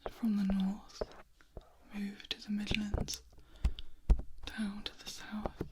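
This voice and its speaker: A woman voicing a gentle, protective, ageless vampire in a breathy whispered ASMR roleplay, confiding slowly and tenderly close to the microphone. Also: medium pitch, completely flat delivery, natural voice, poor audio. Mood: hushed, cautious. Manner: slow, hushed, tenderly confiding. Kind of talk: soft-spoken ASMR roleplay. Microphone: close ear-to-ear ASMR microphone. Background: noise, clicking and picking sounds.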